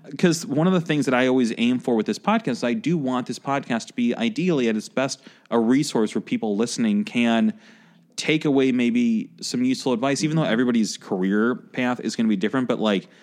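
A man's voice: medium pitch, fairly quick, a little mellow. A man in his forties hosting a podcast interview, talking casually with lots of "like" and some swearing. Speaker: podcast host.

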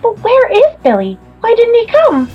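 A woman's voice: very high-pitched, wildly swooping pitch, normal speed, lo-fi sound, soft background music, full character voice. A woman playing a sweet, earnest rich girl in an amateur radio-play reading of an old comic. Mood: breathless wonder.

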